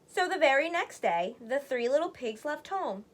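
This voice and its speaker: A woman storyteller narrating a children's read-aloud in an expressive, deliberate way. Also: high voice.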